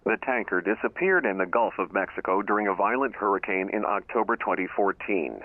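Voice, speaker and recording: A man reads a scripted news report in a fairly low voice. The sound is narrow and tinny, like a small radio, with very little room in it. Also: lively intonation, fairly quick, no echo.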